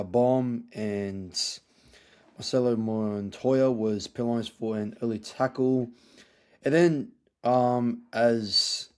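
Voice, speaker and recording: A man hosting a casual sports review podcast, his voice hoarse from yelling, halting with many fillers and restarts. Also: mid-range voice. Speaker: podcast host.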